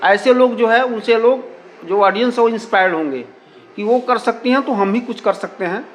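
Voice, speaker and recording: A man's voice: very high-pitched, moderate pace, poor audio. A man giving explanatory interview answers, repeating himself and mixing in English words. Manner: repetitive, peppers Hindi with English words and filler phrases. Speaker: community radio station representative being interviewed.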